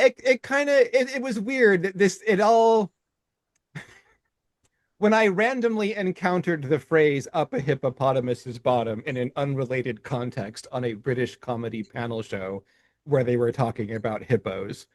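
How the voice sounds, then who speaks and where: A man co-hosting a podcast, reading his own rhyming poem in a measured cadence and then chatting with frequent restarts. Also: fairly high pitch, dry recording. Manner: slow, deliberate, with halting restarts in chat. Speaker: podcast co-host.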